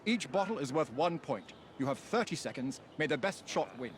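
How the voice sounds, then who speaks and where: A formal, animated manservant. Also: male voice, a little high, normal speed, slight background noise. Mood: ceremonious. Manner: formal, precise announcing. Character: dutiful, formal manservant who keeps score. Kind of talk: video game cutscene acting.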